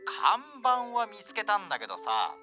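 Guy acting a careless student in a radio play, bright forceful voice, pitch all over the place. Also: huge pitch swings, normal speed, faint music behind, full character voice. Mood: puzzled. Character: careless, breezy young male student lost after oversleeping. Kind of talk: amateur radio drama acting.

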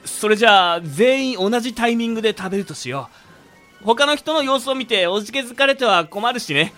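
A stubborn, theatrical young ringleader, voiced by a man in a comic radio play. His voice is very high and bright with lively swings, over background music. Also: brisk, exaggerated voice. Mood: grandly resolute. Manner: theatrical, grandiose. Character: dramatic, stubborn young ringleader relishing the ordeal. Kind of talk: comedy radio drama acting.

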